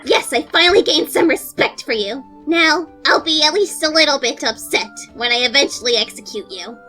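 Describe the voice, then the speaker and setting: Woman as a high, haughty, menacing executioner. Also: normal speed, sharp tone, faint music behind. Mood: condescending. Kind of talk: comic character skit.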